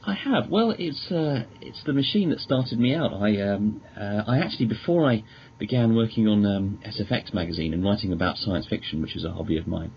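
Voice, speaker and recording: Low-voiced man, podcast guest. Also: expressive, brisk, gravelly. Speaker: podcast guest, magazine editor. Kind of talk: polite casual interview chat. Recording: dull lo-fi recording, background noise.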